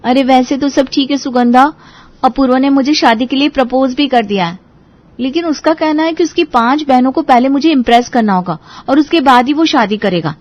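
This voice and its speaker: A woman's voice: high-pitched, fairly quick, darkish tone, dull audio, lightly acted. A woman playing an anxious, earnest young bride-to-be in a Hindi audio story drama. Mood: worried, defensive.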